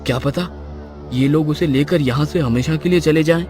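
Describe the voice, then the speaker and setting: A man's voice: fairly high pitch, normal speed, lightly acted. A man acting a kind, thoughtful village husband in storybook dialogue. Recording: music loud under the voice.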